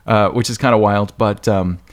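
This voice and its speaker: A man's voice: fairly low pitch, steady tone, moderate pace. A man hosting a podcast interview in a casual, informal way, thinking aloud with some hesitation. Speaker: podcast host interviewing an author.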